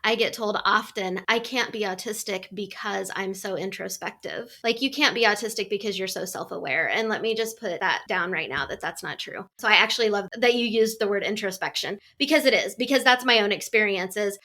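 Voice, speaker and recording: Bright-voiced articulate woman guest. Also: mid-range voice, a little fast, few pauses. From Kansas, USA. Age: 40s. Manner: open, articulate, talks in long flowing runs. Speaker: guest, life coach. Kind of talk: casual interview chat.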